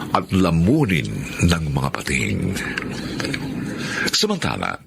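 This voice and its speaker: A man's voice: low voice, creaky, fairly bright tone, a little hiss or hum. A man with a Filipino accent hosting a radio drama, reading a scripted, formal announcement.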